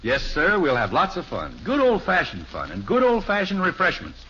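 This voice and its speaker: Blustery middle-aged American sitcom dad, swooping pitch, bright forceful voice, dull old radio recording. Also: male voice, huge pitch swings, normal speed, a little hiss or hum, heavily acted. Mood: hearty, persuading. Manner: emphatic, indignant, hearty. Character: blustery, nostalgic middle-aged suburban father, easily exasperated. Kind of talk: 1950s radio sitcom acting.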